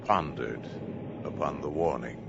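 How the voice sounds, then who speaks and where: An actor playing a solemn storyteller, narrating a 1950s radio drama in a portentous manner. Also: male voice, extremely low pitch, creaky, loud music behind the voice, exaggerated voice. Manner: slow, portentous. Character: solemn, portentous storyteller closing a science-fiction tale. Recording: muffled old radio with heavy noise.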